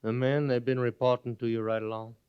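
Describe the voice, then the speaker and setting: An actor playing a bitter, defiant former prisoner turned cavalry trooper, with a Southern drawl and folksy speech, in 1950s Western radio drama. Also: male voice, medium pitch, flat delivery, fairly quick, clean tone, close to an everyday voice. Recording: muffled old radio broadcast recording.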